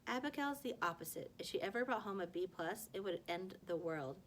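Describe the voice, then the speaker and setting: A woman's voice: lowish voice, animated, brisk, slight background noise, natural voice. Woman voicing an eager, friendly schoolgirl. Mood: sympathetic. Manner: eager, tentative, sympathetic. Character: earnest, friendly, eager middle-school girl.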